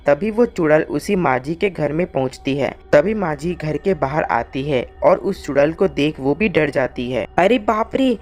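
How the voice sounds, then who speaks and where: A woman's voice: low-pitched, moderate pace, few pauses, darkish tone, soft background music. A woman narrating a scripted story as its storyteller.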